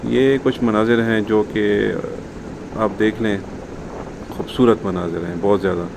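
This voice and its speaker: A man's voice: lowish voice, fairly even intonation, a little slow, vocal fry. A man with a Pakistani accent giving casual commentary as a travel vlogger outdoors. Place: outdoors on a mountain pass, partly riding a motorbike. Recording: noisy outdoor recording with wind and vehicle noise.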